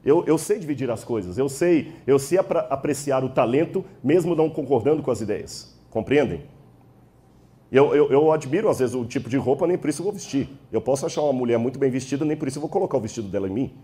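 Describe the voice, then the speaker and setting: A man's voice: medium pitch, animated, quick pace, mellow. A man with a Brazilian accent giving a debate speech in an emphatic, didactic manner, checking in with his listeners. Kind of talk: public debate speech, rhetorical lecturing.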